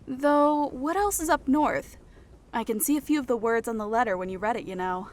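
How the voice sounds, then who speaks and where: A man's voice: very high voice, moderate pace, voice pushed up. A man voicing a playful, teasing young woman companion in a raised, lighter tone. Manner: lively, teasing.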